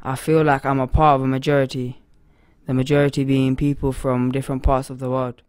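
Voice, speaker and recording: Flat, smooth young man, dry sound. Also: mid-range voice, fairly quick, no room sound. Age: young adult. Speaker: interviewee. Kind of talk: interview answer.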